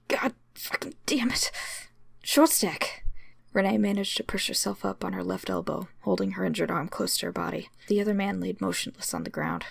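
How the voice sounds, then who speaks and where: Very creaky, husky woman reading a story. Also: lowish voice, no echo. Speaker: story reader. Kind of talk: dramatic scripted narration of fan fiction.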